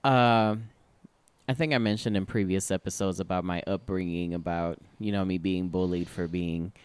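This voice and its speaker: A man's voice: low-pitched, steady tone, normal speed, clear, resonant tone, no echo. A man in his forties co-hosting a podcast, chatting casually and expressively, laughing often. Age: 40s. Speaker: podcast co-host. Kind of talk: casual chat.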